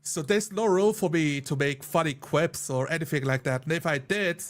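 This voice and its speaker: A man's voice: fairly high pitch, moderate pace. A man in a casual discussion of roleplaying, explaining his views as a player in an earnest way. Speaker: tabletop roleplayer.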